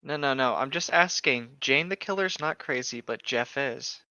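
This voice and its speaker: A man's voice: medium pitch, normal speed, sharp tone, dry recording, dull audio. A man in casual banter, reading aloud and reacting with joking, mock-exasperated sarcasm. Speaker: co-host reacting to online horror fiction.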